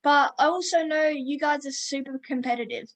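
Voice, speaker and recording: Woman podcast host, high voice, upbeat and friendly, casual questions. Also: normal speed. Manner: upbeat, friendly, calls guest mate. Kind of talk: interview questions.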